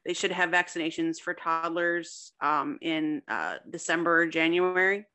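A woman's voice: sits low, normal speed, bright, forceful tone, clean tone. A young woman chatting casually as a guest writer in an interview, with a self-deprecating, talkative manner. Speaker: guest, fiction writer and contest winner.

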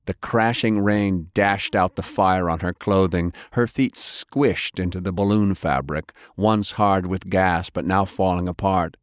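A man's voice: low-pitched, a little slow, hardly any pauses, dry recording. A man narrating action fiction as an audiobook, in a dramatic scripted style.

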